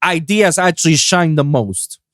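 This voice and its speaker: A man from Puerto Rico with a fairly high, smooth voice that moves up and down in a very lively way. He chats casually and informally, like a podcast host among friends. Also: unhurried, dry recording, clean studio sound. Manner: informal, says man and bro often. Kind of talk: casual chat.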